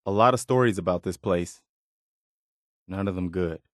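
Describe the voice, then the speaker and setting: An idealistic, principled militia man as a male actor plays him for a video game. His voice sits on the low side, with an earnest, plain-spoken delivery that stays conversational. The sound is very dry and close, with no room to it. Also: normal speed, no echo, natural voice. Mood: earnest, thoughtful. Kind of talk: video game companion dialogue, naturalistic acting.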